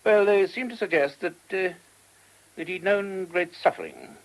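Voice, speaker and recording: An actor playing a measured, thoughtful abbot in a radio play, giving considered and sometimes hesitant answers. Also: male voice, sits high, wildly swooping pitch, exaggerated voice, rough recording. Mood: reflective, hesitant.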